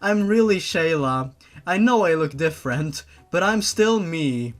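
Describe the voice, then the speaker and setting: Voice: medium pitch, lively intonation, a little slow, faint music behind, lightly acted. A narrator voicing a protective, emotional older sister with an earnest manner in a read-aloud story. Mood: pleading reassurance. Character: protective older sister, emotional teenage heroine of horror romance.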